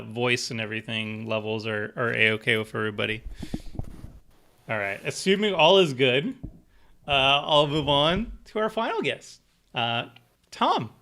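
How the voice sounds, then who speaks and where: Bright-voiced man hosting a stream. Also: medium pitch. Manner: chatty, filler-heavy, laughs often. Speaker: stream host. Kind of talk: casual livestream chat.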